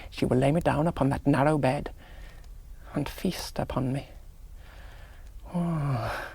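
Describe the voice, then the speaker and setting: Creaky-voiced, wry young English gentleman. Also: medium pitch, slow pace, heavily acted. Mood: entranced, fearful. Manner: clipped, wry, musing aloud. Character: rational young English army gentleman, jaunty, wryly romantic. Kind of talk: 1970s radio drama monologue.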